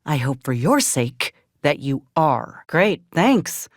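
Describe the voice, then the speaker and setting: A woman's voice: low voice, sing-song, slow pace, no echo, studio quality, heavily acted. An actress playing a determined, scrappy soldier woman in a video game, with blunt, folksy frontier speech. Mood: wry, skeptical. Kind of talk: video game character dialogue.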